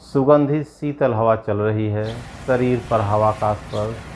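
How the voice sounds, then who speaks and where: Very slow, low-voiced male meditation guide. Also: darkish tone, slight background noise, low-quality recording. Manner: measured instruction in short, spaced phrases. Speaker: yogi leading guided meditation. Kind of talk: guided yoga nidra meditation.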